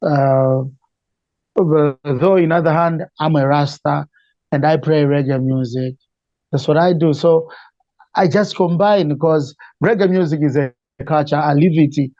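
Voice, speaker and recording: Male interview guest, Malawian accent, soft dark timbre, conversational, dull close dry recording. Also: a little high, measured pace, dry recording. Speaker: interview guest, reggae singer.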